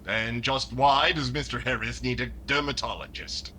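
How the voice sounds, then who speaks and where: A man's voice: mid-range voice, moderate pace, pushed and bright, lightly acted. Hesitant, formal tax inspector male actor, radio comedy straight man, noisy recording. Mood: probing, polite. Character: polite, probing male tax official, mildly baffled. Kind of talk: classic radio sitcom straight-man acting. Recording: noisy recording with room ambience.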